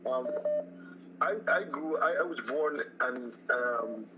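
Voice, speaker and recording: A man from Guyana with a Guyanese accent, calling in to a radio show and talking in a halting way, full of restarts and fillers. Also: mid-range voice, noisy background. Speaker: caller. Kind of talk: call-in radio chat. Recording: muffled phone call.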